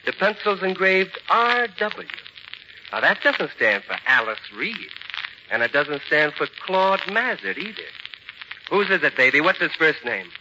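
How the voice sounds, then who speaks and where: Old radio thriller heavy: higher-set male voice, insinuating, mock-polite menace, muffled broadcast sound. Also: big pitch swings, measured pace, noisy background. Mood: needling, menacing. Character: menacing male bodyguard blackmailing a woman, slyly folksy. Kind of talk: 1940s radio drama acting.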